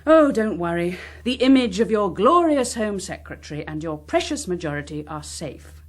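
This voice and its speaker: A proud, embittered Englishwoman in a political drama. Her voice is husky and swings widely in pitch, with clipped, sardonic phrasing and open defiance. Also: big pitch swings, moderate pace. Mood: bitter, angry. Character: bitter, proud, defiant young woman in Westminster. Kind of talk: naturalistic political drama dialogue.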